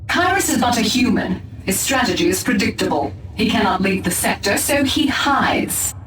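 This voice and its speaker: A woman's voice: mid-range voice, big pitch swings, moderate pace, background noise, close to an everyday voice. Woman doing a stern proud elf leader, formal, echoey and kinda far. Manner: commanding, formal.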